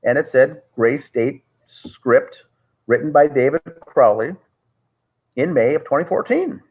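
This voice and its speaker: Man, interview guest, rambling, lots of fillers, mid-pitched voice, thin phone-like sound. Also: animated, unhurried, breathy edge. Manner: rambling, frequent "uh" fillers and restarts. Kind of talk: casual storytelling on a call. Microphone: call audio. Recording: tinny phone-like call audio.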